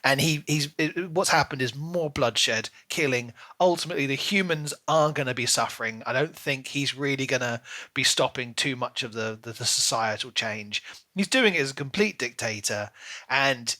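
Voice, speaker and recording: Bright, forceful man talking books. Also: medium pitch, moderate pace. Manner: animated, dramatic, mock-voices characters' lines. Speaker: book podcast host. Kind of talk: casual opinionated book discussion.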